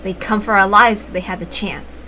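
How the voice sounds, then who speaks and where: Woman reading out a visual novel, expressive storytelling, normal pitch, kinda noisy background. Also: a little fast. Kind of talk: dramatic first-person story narration. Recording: noticeable background noise.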